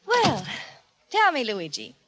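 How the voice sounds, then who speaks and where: An actress playing a kindly, patient schoolteacher in a 1940s radio comedy, proper and gently instructive. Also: female voice, high voice, huge pitch swings, slow pace, exaggerated voice. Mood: warm.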